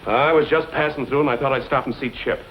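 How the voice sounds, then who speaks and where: An actor playing a boastful, cold-blooded outlaw in an old western, talking in slangy, menacing lines. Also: male voice, mid-range voice, steady tone, fast, natural voice. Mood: sly, cool. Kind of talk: 1940s western film acting. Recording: dull, noisy old film soundtrack.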